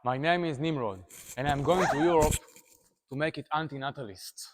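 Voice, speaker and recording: A man's voice: a little high, moderate pace. A man, a Hebrew speaker, making wry, joking asides. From Israel.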